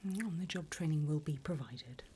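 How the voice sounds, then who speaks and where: Low whispering demon interviewer played by a woman. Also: a little fast, warm tone, slight background noise, close to an everyday voice. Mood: businesslike, teasing. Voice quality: breathy whisper. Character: female demon job interviewer in hell, wickedly amused, businesslike. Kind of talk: whispered ASMR roleplay.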